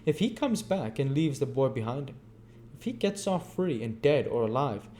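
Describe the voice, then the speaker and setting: A man voicing a scheming old male gang master, oily and coaxing but quick to fury. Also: on the high side, expressive, darkish tone, a little hiss or hum, pitched above the performer's own voice. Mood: furious.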